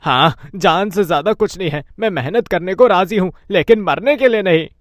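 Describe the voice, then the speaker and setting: A man voicing a quick-tempered, bickering village husband and petty thief in a cartoon, with dramatic delivery. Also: high-pitched, no echo, studio quality, close to an everyday voice. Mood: shaken. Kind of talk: Hindi moral-story cartoon dub.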